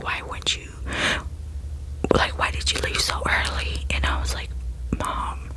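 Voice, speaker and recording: A young woman speaks in a soft, unvoiced whisper, as in an ASMR storytime. Her delivery is casual and rambling, full of "like" and "you know". The breathy whisper carries the whole narration. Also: rough recording.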